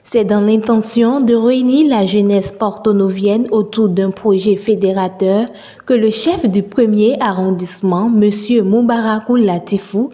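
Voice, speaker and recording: Mellow woman reporter, heavy reverb. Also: mid-range voice, muffled recording. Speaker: news reporter. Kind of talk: scripted news narration. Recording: long reverberant tail on voice.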